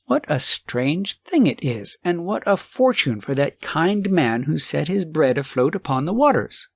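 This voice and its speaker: A man's voice: on the high side, big pitch swings, unhurried, gravelly, breathy edge, no echo, full character voice. A man voicing a poor old wife, timid, fretful and anxious, in an audiobook story. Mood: wistful. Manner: sighing, fretful worrying.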